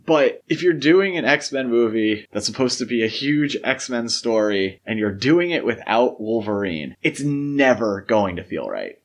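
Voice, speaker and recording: A man speaks as a podcast host in an easygoing discussion format, with a middling pitch. He states opinions with emphasis and often stops to qualify what he has just said. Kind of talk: casual film discussion.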